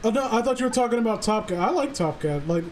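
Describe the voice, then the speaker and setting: A man's voice: high voice, lively intonation, very fast, a crowd in the background. A man in casual chat, sweary and opinionated. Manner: profane, opinionated. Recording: clearly noisy background.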